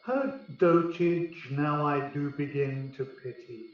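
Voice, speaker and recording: An actor playing a lordly, scheming fairy king who declaims Shakespeare verse in a measured, stately way for radio. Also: on the high side. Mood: lordly, pitying. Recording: very echoey, distant, dull sound.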